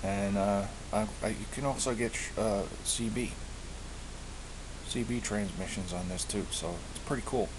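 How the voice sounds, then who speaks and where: A man giving a casual show-and-tell of his gear, speaking haltingly and often repeating himself as he searches for words. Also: on the low side. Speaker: hobbyist presenter. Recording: hissy amateur home recording.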